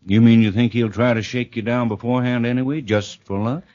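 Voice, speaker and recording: An actor playing a businesslike, hard-boiled fixer, terse and matter-of-fact, in 1940s radio drama style. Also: male voice, medium pitch, steady tone, a little fast, a little mellow, lightly acted. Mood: cool, businesslike. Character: businesslike, hard-boiled male fixer taking on a delicate job. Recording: dull vintage radio recording.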